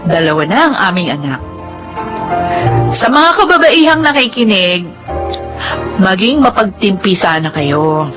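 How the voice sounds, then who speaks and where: A woman's voice: mid-range voice, big pitch swings, unhurried, long pauses, over music. An actress playing a remorseful, earnest young single mother in a radio drama, with a Filipino accent. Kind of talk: radio drama acting and narration.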